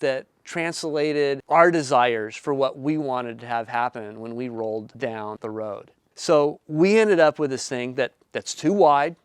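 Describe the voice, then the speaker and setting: A man in his fifties with a smooth, resonant voice speaks to camera as a founder making his pitch. His delivery is earnest and explanatory, stretching out words for emphasis. The sound is dry and close. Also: a little slow, few pauses, no room sound. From Southern California. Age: 50s. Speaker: company founder and inventor. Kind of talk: pitch video talk to camera.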